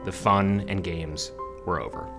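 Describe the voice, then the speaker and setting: A man speaking as a mock-documentary interviewee, with a deadpan air of authority. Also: deep voice, unhurried, music in the background. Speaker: comedian talking head.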